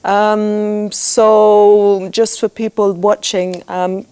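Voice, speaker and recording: A woman of middle pitch runs a round-table discussion as a coach. She prompts each student with short questions and often pauses on "um" and "uh". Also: level tone, dark tone, clear, resonant tone. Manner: friendly, prompting questions with fillers.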